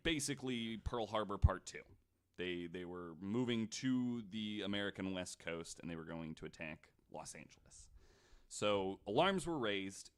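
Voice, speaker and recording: A man telling a true story on a podcast in a casual, informal way, like a host talking among friends. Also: lowish voice. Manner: informal, hedging, frequent "like".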